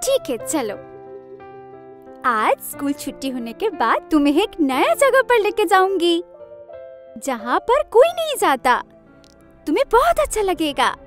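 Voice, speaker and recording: A woman voicing animated female characters in a Hindi cartoon story. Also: very high-pitched, unhurried, long pauses, soft background music, heavily acted. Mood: coaxing, secretive.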